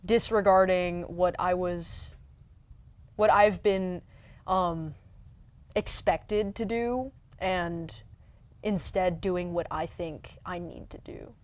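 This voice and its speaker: A young adult woman, a youth leader guest, answering slowly and hesitantly with many fillers. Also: fairly low pitch, no room sound. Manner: slow, hesitant, lots of "um" and "like". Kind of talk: casual interview answers.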